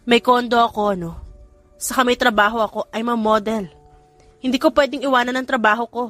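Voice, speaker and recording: Woman putting on a feisty, high-pitched young woman. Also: fairly even intonation, brisk, a bit bright, faint music behind, lightly acted. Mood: defensive. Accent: Filipino. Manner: indignant, snappy. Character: feisty, defensive young woman, a fashion model. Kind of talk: audiobook romance character acting.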